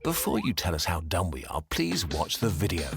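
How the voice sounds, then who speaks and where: A man giving scripted explainer narration with a lively, clear delivery. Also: low-pitched, normal speed. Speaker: educational video narrator. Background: background music.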